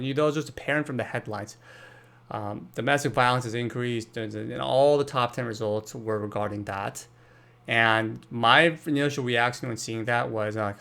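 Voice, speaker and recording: A young man from Brazil hosts his own podcast and talks through his thoughts alone. His voice sits at an ordinary middle pitch. He thinks aloud, restarts sentences and fills pauses with um and you know. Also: vocal fry, a bit bright. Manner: thinking aloud, self-correcting, many fillers. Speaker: podcast host. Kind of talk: solo podcast monologue.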